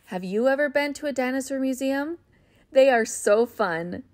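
A woman reading a children's picture book aloud in a gentle, expressive, child-friendly way. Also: high-pitched, normal speed, dry recording. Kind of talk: storybook read-aloud.

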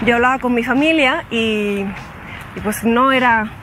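A woman's voice: a little high, a little slow. Young Catalan woman, put-on Mexican accent. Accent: Spanish speaker using a Mexican accent. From Catalonia, Spain. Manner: relaxed, laughs between sentences. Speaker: actress, interviewee. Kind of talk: casual interview. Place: outdoors in a city park. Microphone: lapel mic. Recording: outdoor street noise behind.

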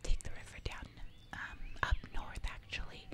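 A young woman voicing a casually menacing jungle giantess in a soft, chatty whispered ASMR roleplay, close to the microphone. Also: close to an everyday voice. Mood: matter-of-fact. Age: young adult. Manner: soft, slow, repetitive, chatty. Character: casually menacing female jungle giantess who eats people.

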